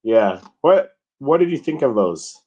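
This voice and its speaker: A young man co-hosting a podcast in casual chat, slightly hoarse from losing his voice, relaxed and hesitant with frequent ums. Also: mid-range voice, a little slow. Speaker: podcast co-host.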